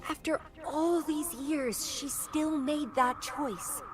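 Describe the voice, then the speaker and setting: An actress playing a possessive, teasing young woman fighter in a fantasy video game, with deliberate, dramatic delivery. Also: sits high, music in the background. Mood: wistful. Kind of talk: theatrical video game voice acting.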